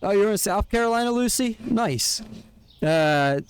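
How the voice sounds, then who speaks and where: A man's voice: high voice, lively intonation, measured pace, clean tone. A young man from Pennsylvania with a Philadelphia accent, chatting casually and rambling to his stream viewers. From Pennsylvania, USA. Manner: rambling, chatty, friendly, lots of fillers.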